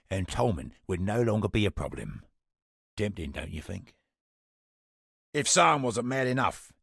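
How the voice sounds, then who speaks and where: An actor playing a sardonic, roguish antiquities trader in a fantasy video game, with a gravelly, husky British voice and a wry, colloquial way of talking. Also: male voice, lowish voice, a bit bright, no echo, studio quality, heavily acted. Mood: wry, grim, then conspiratorial, scornful. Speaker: game non-player character merchant. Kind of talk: theatrical video game character dialogue.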